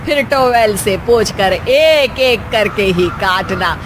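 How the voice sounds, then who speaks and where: A woman voicing a bossy, fault-finding Indian mother-in-law in an acted cartoon story, scolding and commanding, with sharp questioning tags. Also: medium pitch, animated, slow pace, noisy background. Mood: nagging.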